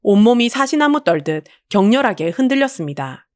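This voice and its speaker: A woman delivers dramatic scripted audiobook narration. Her pitch moves widely through each sentence, and the recording is dry and close, with no room sound. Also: big pitch swings, a little fast, dry recording.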